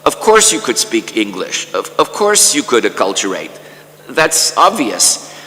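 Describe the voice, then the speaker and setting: An older man from Canada giving a measured academic lecture at a conference, often repeating words as he restarts a thought. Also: mid-range voice, very animated, bright, forceful tone, in a large hall, poor audio. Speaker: conference speaker. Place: conference hall.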